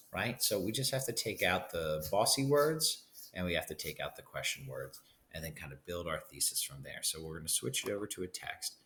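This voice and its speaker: A man's voice: sits low, bright, forceful tone, a little hiss or hum. A man giving an instructional lesson as a teacher, thinking aloud and guiding listeners with frequent check-ins.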